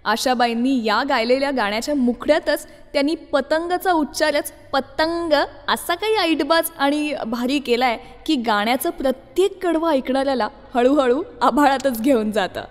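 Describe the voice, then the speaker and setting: A woman presenting a music radio show, introducing songs in a chatty, expressive storytelling way and speaking directly to listeners. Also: sits high, moderate pace, few pauses, long reverb tail.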